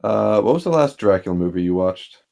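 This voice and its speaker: Chill sports podcast dude, lowish voice, deadpan jokes, laughs a lot. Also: male voice, fairly quick, vocal fry. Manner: laid-back, dry humor, chuckles while talking. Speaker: podcast co-host. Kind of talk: casual sports podcast banter.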